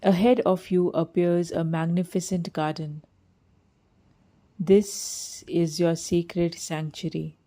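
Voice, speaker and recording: A woman leads a guided meditation in a soft, mellow voice on the lower side. She speaks very slowly and soothingly, reading scripted lines with gentle, measured phrasing. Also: level tone.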